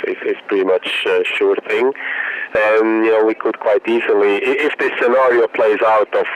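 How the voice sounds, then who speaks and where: Deep-voiced halting analyst on phone. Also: male voice, slight background noise. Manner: hesitant, halting, repeating words as he thinks. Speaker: bank market analyst, interview guest. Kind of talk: unscripted expert commentary. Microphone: telephone. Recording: dull, lo-fi phone line.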